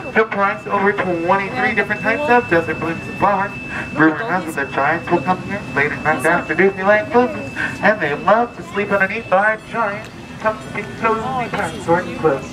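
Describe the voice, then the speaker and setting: A man working as a boat ride guide, narrating a scripted storytelling tour with theatrical, drawn-out emphasis on key words. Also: high-pitched. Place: outdoors aboard a tour boat. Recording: distant amateur recording with loud background music. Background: music and ride ambience.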